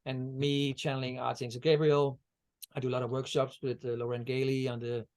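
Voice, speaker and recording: Flat-voiced Danish man, meandering speech. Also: medium pitch, a little fast. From Denmark. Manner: meandering, many fillers and restarts. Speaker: guest, energy healer. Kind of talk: casual video-call interview. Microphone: video call.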